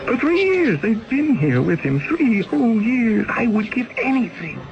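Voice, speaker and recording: An actor playing a desperate, pleading exiled convict in his twenties, speaking haltingly in an old-time radio drama. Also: extremely high pitch, very animated, full character voice. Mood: anguished pleading. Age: young adult. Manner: agitated, halting with repeated words. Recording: dull, noisy old radio recording.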